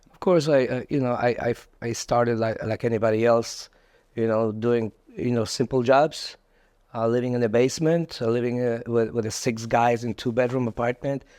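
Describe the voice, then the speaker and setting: A middle-aged man from Poland speaking English with a Polish accent in a conversational interview, haltingly repeating words. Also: medium pitch, steady tone, a little mellow, no room sound, clean studio sound. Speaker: podcast guest, entrepreneur.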